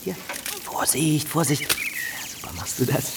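An actor playing an evasive, anguished young footballer and father in a TV crime drama, speaking haltingly. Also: a little high, vocal fry, very noisy. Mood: gentle, playful. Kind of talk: naturalistic German TV drama dialogue.

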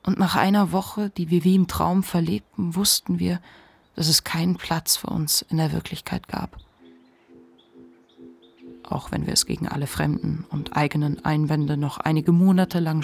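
An actor playing a reflective, ashamed narrator confiding a memoir in a measured literary radio monologue. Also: mid-range voice, gravelly.

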